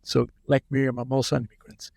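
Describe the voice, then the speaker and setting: Man, late 30s, anecdotal storyteller, medium pitch, dry close mic. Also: monotone, fast talker, creaky, a little mellow, dry recording. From Nigeria. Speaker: startup founder, guest. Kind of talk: casual podcast interview.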